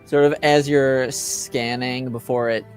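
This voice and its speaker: Male game master, halting delivery full of fillers, music faint underneath. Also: medium pitch, level tone. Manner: hesitant, lots of ums and uhs. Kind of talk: narrating a tabletop game.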